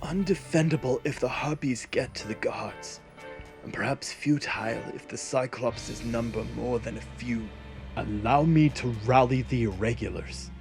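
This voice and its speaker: A man's voice: mid-range voice, normal speed, darkish tone, faint music behind, close to an everyday voice. A man voicing commanding, resolute soldiers of an ancient Greek fantasy in an audio drama, declaiming urgent orders. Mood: grave, resolute.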